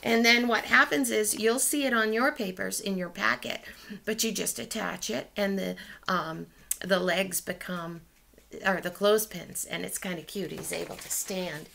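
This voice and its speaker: A woman's voice: medium pitch, normal speed, vocal fry, bright, forceful tone. A middle-aged woman teaching a Sunday school lesson to children by video from a small room at home, chatty and talking straight to the kids. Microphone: laptop webcam.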